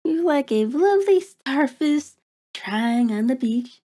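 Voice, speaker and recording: A woman's voice: high-pitched, animated, takes it slowly, mellow. A woman playing a cruel, predatory vampire in an ASMR horror roleplay, taunting and haughty, savoring words in formal diction. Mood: boastful, menacing. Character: cruel, predatory female vampire toying with her captive.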